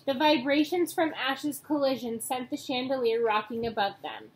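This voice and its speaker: Higher-pitched young woman reading a children's book. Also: animated. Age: young adult. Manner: acts out dialogue, pauses to point out pictures. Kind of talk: read-aloud storytelling from a children's book. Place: small room at home, indoors. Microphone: webcam or laptop mic at arm's length. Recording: noisy home webcam recording.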